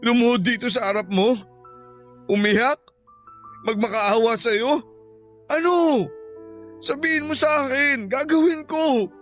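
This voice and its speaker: Insistent, hot-tempered young boyfriend. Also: male voice, very high voice, a little slow, long pauses, fairly bright tone, faint music behind. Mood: remorseful, begging. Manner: insistent, dramatic pleading. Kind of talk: Filipino radio drama acting.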